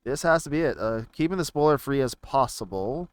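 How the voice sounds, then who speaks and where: A man giving casual, rambling commentary on video games, haltingly thinking out loud as he browses. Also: mid-range voice, animated, clean tone. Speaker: gaming video creator.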